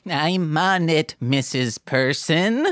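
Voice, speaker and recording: A woman puts on a middle-aged husband, extremely low and slow. He is eager to please and insistent, with a fixed smile in a comedy table read. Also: expressive. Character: permanently smiling, food-pushing middle-aged husband.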